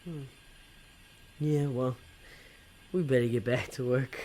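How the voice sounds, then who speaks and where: A man's voice: mid-range voice, slow pace, mellow. A man playing a conflicted, working-class demon tempter in an audio drama, talking in a colloquial, hesitant way. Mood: hesitant, troubled.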